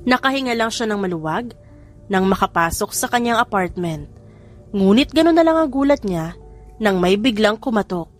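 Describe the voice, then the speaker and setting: A woman's voice: mid-range voice, animated, moderate pace. Female Filipino narrator for scripted romance audiobook, mid-range voice, music underneath. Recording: music bed behind narration. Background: background music.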